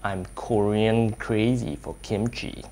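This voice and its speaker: A man's voice: lowish voice, fairly even intonation, dark tone. A young man hosting a casual cooking show, asking curious questions and joking with his guest in a small home kitchen. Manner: curious, playful questions and quick reactions. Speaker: show host.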